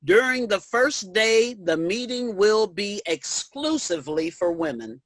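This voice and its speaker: A woman playing a stirring suffrage orator in reader's theater, declaiming with deliberate emphasis. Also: mid-range voice, animated, no room sound. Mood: solemn, formal.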